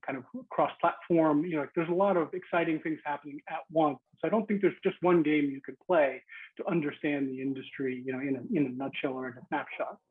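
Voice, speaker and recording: A man on a panel, speaking in a measured way with many "you know"s. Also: a little high. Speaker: investor on a panel. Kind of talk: panel discussion. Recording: tinny husky phone-like call line.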